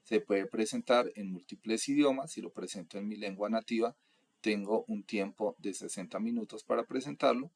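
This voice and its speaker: A young man from Colombia delivers a methodical lecture, walking through points one by one. His voice sits low and carries a husky, gravelly texture. The delivery is even and instructional. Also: normal speed. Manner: steady, methodical, lists items one by one. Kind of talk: explanatory lecture narration.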